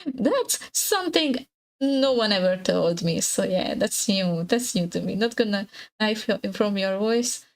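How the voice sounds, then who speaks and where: A woman chatting casually on an art livestream, speaking haltingly and often repeating her words. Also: medium pitch, animated. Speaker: art streamer.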